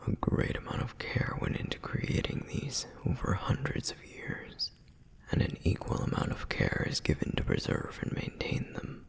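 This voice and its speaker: A man voicing a protective, reverent flame demon in an ASMR roleplay, speaking in a breathy, husky whisper with measured, gentle explanations and frequent pauses. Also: close to an everyday voice. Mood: reverent, fascinated. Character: male flame demon, protective, reverent, softly flirtatious lore guide. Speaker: audio roleplay performer. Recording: long reverberant hall echo.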